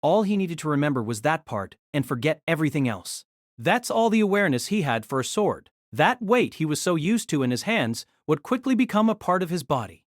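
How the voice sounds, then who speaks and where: A man's voice: a little high, very animated, fairly quick, no room sound, studio quality. A man reading scripted narration for a fantasy story. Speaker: narrator of a fantasy audio drama.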